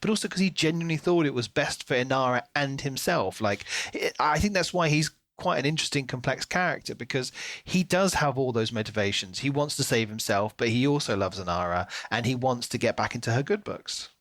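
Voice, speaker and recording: A man co-hosting a podcast, talking through a book in a casual, animated way. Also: medium pitch, fairly quick, dry recording. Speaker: podcast co-host.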